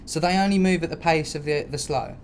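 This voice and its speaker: Higher-voiced man chatting, sweary and slangy. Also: a little fast, a little hiss or hum. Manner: animated storyteller, sweary, slangy, mimics noises and dialogue. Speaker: podcast host. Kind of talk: casual podcast banter.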